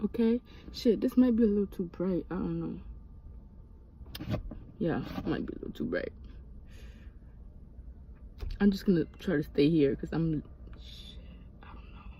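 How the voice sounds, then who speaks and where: A woman's voice: lowish voice, expressive, a little slow, long pauses, dull audio, slight background noise. A woman of twenty from Mali, raised in Senegal, telling a story in a chatty vlog from her car, swearing casually and breaking into asides. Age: 20s. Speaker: vlogger. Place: inside a parked car.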